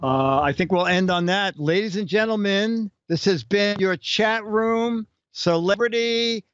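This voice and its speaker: A chatty man hosts a casual live stream and banters with his guest as he reads off viewer questions. His voice sits a bit high for a man. The sound is dry and dull, like a low-fidelity stream. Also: unhurried, a bit bright, clear, resonant tone, dry recording. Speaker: show host.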